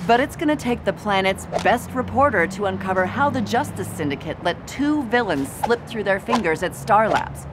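Confident star reporter, played by a woman: lively, wry, street noise behind. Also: medium pitch, normal speed, few pauses, natural voice.

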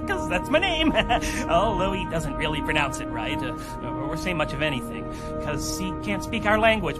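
An actor playing a frightened, pleading male prisoner in a motion comic, stammering and repeating himself nervously. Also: high voice. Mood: nervous, placating. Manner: stammering, repetitive, nervous babbling. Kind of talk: dramatic motion comic voice acting. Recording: voice over loud dramatic music.

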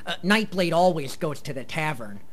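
A woman plays an awkward teenage boy gamer in a radio play, hesitant but earnest and quick to get defensive. Pitch moves in a lively way, and a crowd is clearly audible behind. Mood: awkward, coaxing. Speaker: actor. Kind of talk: live radio play acting.

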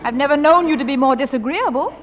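A prim, spirited English widow in an old-fashioned radio drama. Her higher voice swings expressively through crisp British phrasing, over a noticeably noisy track. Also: animated, fast. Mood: spirited, exasperated. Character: proper, spirited widowed English mother and author. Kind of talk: 1940s-style radio drama acting.

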